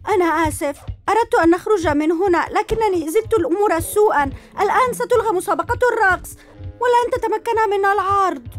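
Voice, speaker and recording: A woman voicing an eager young superhero boy in a cartoon dub, energetic and shouting hero calls. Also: extremely high pitch, fast, soft background music. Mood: determined. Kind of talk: cartoon dubbing.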